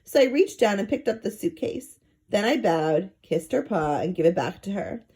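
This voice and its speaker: A woman reading a children's story aloud in an expressive narrating style. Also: mid-range voice, moderate pace, slightly rough. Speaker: storybook reader.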